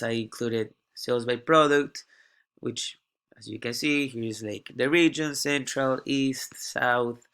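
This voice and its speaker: A young male student talks through a class presentation while sick and coughing. He speaks in a halting way and often repeats a word before pressing on. His voice sits at an ordinary middle pitch. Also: fairly bright tone. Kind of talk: class presentation walking through a data dashboard.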